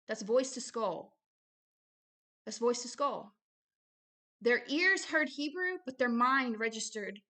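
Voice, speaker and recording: High-voiced woman, emphatic religious commentator. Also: sharp tone. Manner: emphatic, repeats phrases for stress. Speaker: host, self-described prophet reading a book aloud with commentary. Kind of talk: religious commentary mixed with reading aloud.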